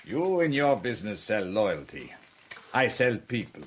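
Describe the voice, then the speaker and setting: An actor playing a suave, condescending older spymaster villain in a radio drama. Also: male voice, fairly low pitch, very animated, a little slow, slight background noise. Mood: urbane.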